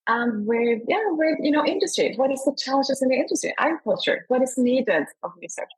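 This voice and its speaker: A woman's voice: high voice, lively intonation, fairly quick, breathy edge, poor audio. A woman speaking enthusiastically as a guest in a conversational interview. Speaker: award founder, interview guest.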